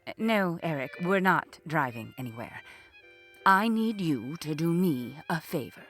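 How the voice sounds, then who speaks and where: A woman's voice: sits low, animated, a little slow, faint music behind. A woman playing an imperious, spoiled rich heiress in a comic audio drama, with a melodramatic manner.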